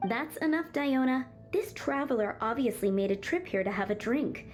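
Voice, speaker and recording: Higher-voiced, polite tavern manager. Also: female voice, expressive, normal speed, faint music behind. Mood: firm, courteous. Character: polite, firm young woman managing a tavern. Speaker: video game character. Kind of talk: animated game voice acting.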